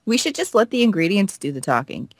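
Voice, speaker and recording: A woman co-hosting a gaming video, playfully acting out visual novel dialogue in an expressive, teasing, giggly way. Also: mid-range voice, quick pace, dry recording, studio quality.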